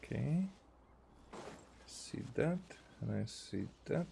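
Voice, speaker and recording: A man giving casual, halting gameplay commentary as a streamer, thinking aloud as he plays. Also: medium pitch, lively intonation, vocal fry, dark tone, a little hiss or hum. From Hungary. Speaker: video game streamer.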